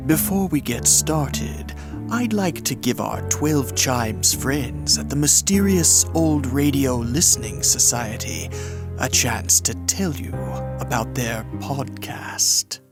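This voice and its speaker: Slow-speaking male host over music. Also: medium pitch, expressive. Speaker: podcast host. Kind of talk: scripted narration.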